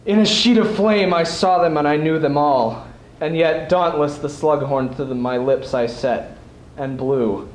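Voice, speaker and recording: A man's voice: fairly high pitch, measured pace, few pauses. A young man giving a theatrical, declamatory recitation of poetry as a student speech competitor. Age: young adult. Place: school event stage before an audience. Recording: echoey hall.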